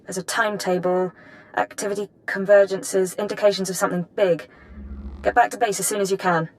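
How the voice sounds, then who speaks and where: A woman playing a businesslike intelligence analyst in a video game, precise and measured. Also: mid-range voice, level tone, natural voice, poor audio. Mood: focused, concerned.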